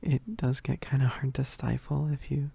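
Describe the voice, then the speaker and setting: Shy, needy werewolf roommate for roleplay ASMR, played by a performer: very dark, soft voice with creaky edges, halting and affectionate. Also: medium pitch, level tone, exaggerated voice. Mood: embarrassed, tender. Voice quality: soft, breathy, husky. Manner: bashful, halting, giggly, often dropping to whispers. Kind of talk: roleplay ASMR, intimate one-sided dialogue.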